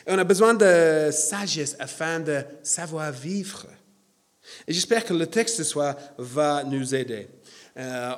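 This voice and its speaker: A man preaching a sermon in a church, hesitant with many fillers and self-deprecating. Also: a little high.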